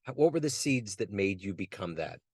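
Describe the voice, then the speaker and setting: A man's voice: lowish voice, big pitch swings, brisk, breathy edge, no echo. Friendly male host, dry sound. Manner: friendly, playful questioning. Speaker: interview host. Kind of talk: interview questions.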